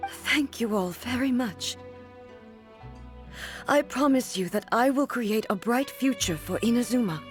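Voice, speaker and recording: Casting: slow, dignified ruler, weary but resolute, faint music behind. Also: female voice, on the high side. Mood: composed. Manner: calm, measured, formal. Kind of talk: game voice acting. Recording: game audio with faint music.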